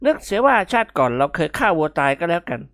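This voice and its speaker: A woman's voice: on the low side, sing-song, quick pace, creaky, no echo, clean studio sound. A woman voicing a cheeky, easily frightened rich young man who whines and blusters in a storybook reading. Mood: grumbling.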